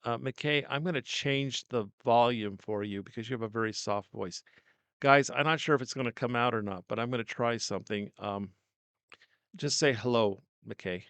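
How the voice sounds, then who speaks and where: Loud, gravelly older man hosting an interview. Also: medium pitch, moderate pace, no echo. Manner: loud, coaxing, chatty. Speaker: host. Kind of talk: casual interview. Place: small home studio. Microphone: directional studio mic.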